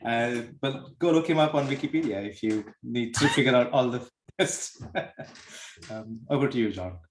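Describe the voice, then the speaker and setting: A man's voice: mid-range voice, normal speed. A man hosting a webinar and introducing the guest speaker over a video call, hesitant and full of ums. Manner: hesitant, with ums and light laughs. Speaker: webinar host. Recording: echoey room with faint hiss.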